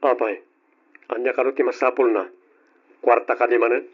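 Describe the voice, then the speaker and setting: An actor with an Ilocano accent playing a domineering, tight-fisted but doting husband in an old radio drama. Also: male voice, medium pitch, fairly even intonation, moderate pace, lightly acted. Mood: stern, irritated. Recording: dull, lo-fi old radio recording.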